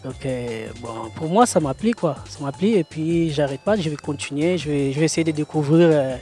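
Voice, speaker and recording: Young man, halting speech. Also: on the high side, warm tone, faint music behind. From Democratic Republic of the Congo. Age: young adult. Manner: halting, frequent fillers. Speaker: trainee. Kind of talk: news interview.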